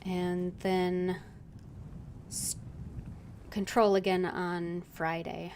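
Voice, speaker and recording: Female livestreamer, casual commentary, unhurried delivery, noisy game ambience behind. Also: on the low side, little pitch movement, a little mellow. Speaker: game streamer.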